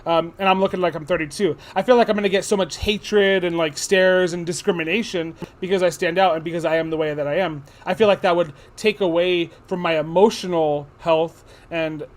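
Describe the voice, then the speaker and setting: A man's voice: high voice, steady tone, fairly quick, hardly any pauses. A man in his thirties co-hosting a casual chat, talking things through at length with many restarts. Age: 30s. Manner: rambling, thoughtful, many restarts. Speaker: co-host.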